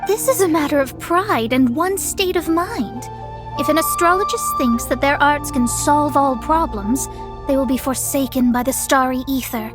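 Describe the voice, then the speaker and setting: Woman as a high-voiced, haughty young astrologer. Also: moderate pace, natural voice. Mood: indignant, proud. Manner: haughty, formal, theatrical. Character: proud young female astrologer, haughty and formal. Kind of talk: video game character acting. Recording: game dialogue with music behind.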